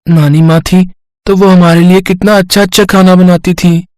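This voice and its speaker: Woman acting a little boy, flat-toned. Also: on the low side, moderate pace, dry recording, close to an everyday voice. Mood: whiny. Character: sweet little boy, grateful or whiny.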